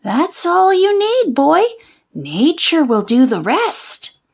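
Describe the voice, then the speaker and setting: A woman voicing a scary, mysterious old backwoods witch with a croaky voice and ominous, folksy delivery in a storybook. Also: high-pitched, big pitch swings, slow pace, heavily acted.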